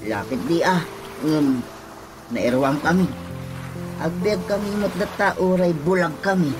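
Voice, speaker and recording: Slow-talking humble blind man played by an actor. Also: a little high, close to an everyday voice, rough recording. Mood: resigned, good-natured. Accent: Ilocano. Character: humble blind man getting by, good-natured. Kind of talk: radio drama acting. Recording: music loud behind the voice.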